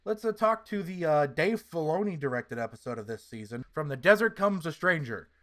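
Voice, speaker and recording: A man's voice: on the high side, animated, fairly quick, a bit bright. A man hosting a podcast, giving opinionated casual reviews in an emphatic, sweary way. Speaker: podcast host.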